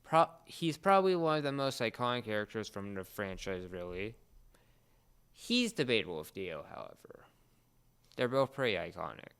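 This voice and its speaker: Clear-voiced man chatting and explaining, halting delivery. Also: fairly low pitch, very animated, a bit bright. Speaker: podcast co-host explaining a series. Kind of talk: casual chat, explainer.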